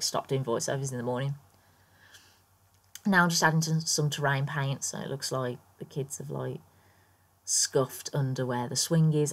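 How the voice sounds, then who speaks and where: A woman with a croaky morning voice, chatting through her game build in a rambling, casual voiceover full of ums and small laughs. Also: sits low. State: croaky, just woken up. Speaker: Sims builder YouTuber.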